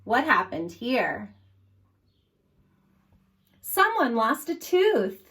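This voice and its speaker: High-voiced woman hosting children's story time. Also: extremely slow, husky. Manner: animated, encouraging, addresses young listeners directly. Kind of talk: reading and singing aloud to young children.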